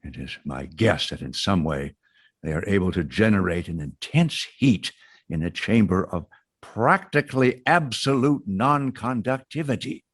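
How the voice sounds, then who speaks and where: A grave, lecturing professor. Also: male voice, on the low side, animated, husky. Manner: measured, formal, lecturing. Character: learned older male astronomy professor. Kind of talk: radio drama acting.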